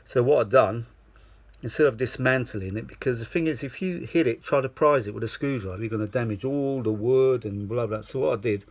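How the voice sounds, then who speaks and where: A mature man with a slightly rough, husky voice talks at an ordinary male pitch. He shows off vintage gear in loose, unscripted chat, wandering between asides and short exclamations, often saying things twice to stress them. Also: brisk. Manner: rambling, exclamatory, repeats himself for emphasis. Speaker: vintage audio enthusiast showing a machine. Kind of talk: casual unscripted show-and-tell chat.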